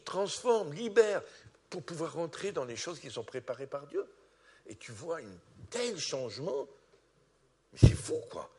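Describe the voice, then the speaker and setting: Pastor, male, from France, animated testimony preaching with a lively, slightly high voice. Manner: animated, rising to loud peaks. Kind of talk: testimony sermon.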